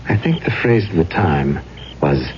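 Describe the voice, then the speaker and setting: An actor playing a courtly, mysterious, melancholy gentleman with poetic, theatrical phrasing in an old-time radio drama. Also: extremely low pitch, animated, measured pace. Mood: solemn, tragic. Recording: dull, noisy old radio recording.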